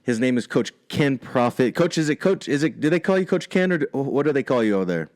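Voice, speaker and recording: A man's voice: medium pitch, lively intonation, fast talker, darkish tone. A man hosting a podcast, welcoming his guest in a friendly, rambling way with many fillers. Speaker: podcast host. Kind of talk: casual interview hosting.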